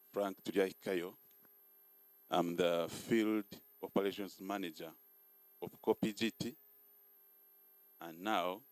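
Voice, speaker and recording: A middle-aged man speaking as field operations manager at a ceremony, reading out figures steadily and deliberately. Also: sits low, no room sound, low-quality recording. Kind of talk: ceremony speech.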